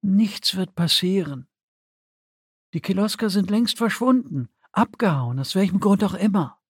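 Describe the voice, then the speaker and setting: An insecure young woman, acted by a man, with a lifted pitch. The recruit sounds hesitant, inward and self-doubting, anxious with some resentment, in a dry audiobook recording. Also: expressive, normal speed, husky, dry recording, exaggerated voice.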